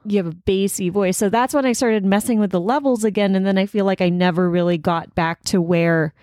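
A woman's voice: mid-range voice, a little fast, a little mellow, no room sound. Chatty woman podcaster, dry sound. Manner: chatty, laughs often, light and playful. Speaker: podcast co-host. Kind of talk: casual chat.

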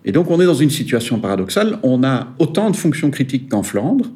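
A man, a regional director of an employers' federation, giving measured interview answers with some hesitant fillers. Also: mid-range voice, big pitch swings, dark tone. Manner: measured, hesitant fillers, stressing key points.

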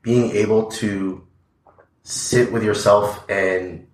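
A man's voice: lowish voice, fairly even intonation, takes it slowly, echoey room, low-quality recording. A man speaking as a podcast guest, earnest and reflective, with lots of "like" and "you know". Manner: earnest, filler-heavy, reflective. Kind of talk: casual interview conversation.

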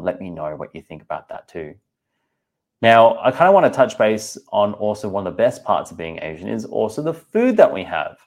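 A man's voice: low-pitched, a little mellow. A man in his thirties with an Australian accent, talking candidly and chattily to his podcast listeners as host. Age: 30s. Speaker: podcast host and personal trainer. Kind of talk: casual podcast monologue.

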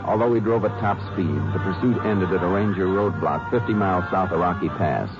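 An actor playing a calm, commanding male Texas Ranger in a 1950s radio drama, speaking in a clipped, matter-of-fact way and also narrating. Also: low voice, brisk, over loud music. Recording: muffled 1950s radio broadcast.

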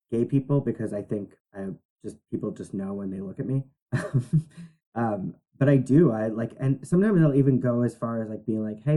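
A man co-hosting a podcast who talks in an animated, halting way with lots of fillers. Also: medium pitch, rough recording. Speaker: podcast co-host. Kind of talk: casual conversation.

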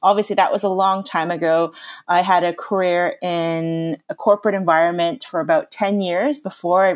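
A woman in her thirties with a Canadian accent, a chef talking through her career in an upbeat, chatty way with many fillers. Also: lowish voice. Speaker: chef and lecturer, podcast guest. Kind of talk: conversational podcast interview.

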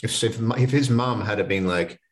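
A man's voice: lowish voice, moderate pace. A man in his late twenties hosting a solo motivational podcast, talking in a casual, earnest way. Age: 20s. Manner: casual, earnest, conversational with restarts. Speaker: podcast host.

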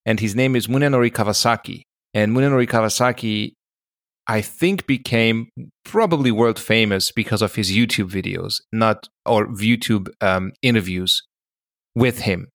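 Male podcast co-host, lowish pitch, very dry sound, casual conversational storytelling. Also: moderate pace, fairly bright tone, no echo.

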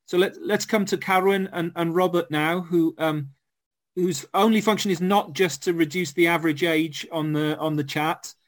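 A man with a fairly high voice, moderating a group conversation. He asks questions politely, restarting and stumbling over his words. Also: steady tone, normal speed. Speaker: host, moderator. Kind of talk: moderating a group video chat.

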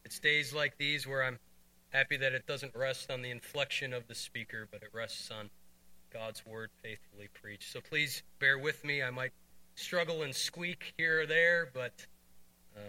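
A pastor with a cold preaches in a hoarse but bright, forceful voice. He speaks earnestly and at a measured pace, pausing often on small fillers. His pitch sits in the ordinary middle range for a man. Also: steady tone, low-quality recording. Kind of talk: sermon with scripture reading.

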